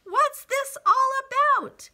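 A woman's voice: extremely high pitch, takes it slowly, pushed and bright, pitched above the performer's own voice, heavily acted. A woman voicing amazed circus onlookers in a children's storybook, exclaiming in wonder. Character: astonished crowd of circus onlookers.

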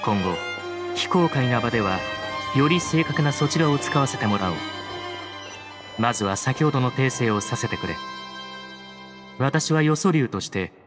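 Guy voicing a grave dignified judge, anime game style, very formal and measured, mid-pitched, bgm under it. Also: expressive, lightly acted. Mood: grave, courteous. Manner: formal, measured, stiffly courteous. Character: solemn, dignified chief judge, a dragon in human form. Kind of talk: Japanese anime game voice acting. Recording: music behind the voice. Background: game background music.

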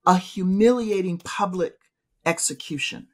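A woman's voice: fairly low pitch, a little slow, husky. Middle-aged woman, professor co-host, preacherly emphasis, home-office webcam sound. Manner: emphatic, preacherly, gestures while talking. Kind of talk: casual theological discussion. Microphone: video call webcam.

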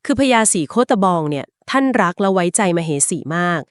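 A woman co-hosting a podcast, retelling an old legend conversationally and explaining it at length, with polite particles and short filler pauses. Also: lowish voice, animated, no echo, clean studio sound. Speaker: podcast co-host. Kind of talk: conversational storytelling of a folk legend.

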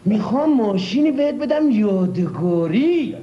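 An actor playing a boastful, pompous used-car dealer who swings between loud and hushed delivery, in a comedy sketch. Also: male voice, sits high, animated, a little hiss or hum. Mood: scheming. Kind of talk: old comedy sketch acting. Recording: dull lo-fi old recording, echoey.

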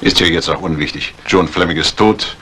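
Low-voiced, urbane doctor. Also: male voice, bright, forceful tone. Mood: ominous. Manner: measured, polite, suave. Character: urbane, subtly ominous country doctor. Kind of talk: 1950s German dubbed thriller acting. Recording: faintly noisy vintage soundtrack.

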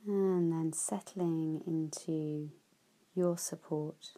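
A woman guides a deep relaxation practice in a low, mellow voice. She speaks very slowly and gently, letting many phrases fade into a breathy whisper. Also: steady tone, poor audio. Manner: soft, slow, with whispered phrase endings. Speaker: yoga nidra teacher.

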